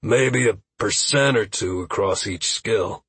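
Worn-out young-hero type, read by a male narrator: downcast, breathy, husky, fantasy audiobook. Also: on the low side, slow pace, fairly bright tone, no room sound, heavily acted. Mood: relieved. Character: drained, relieved male adventurer sick from resurrection.

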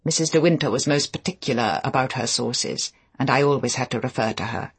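A reader playing a cold, formal housekeeper, husky. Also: female voice, extremely low pitch, fairly quick, full character voice. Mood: stiffly deferential.

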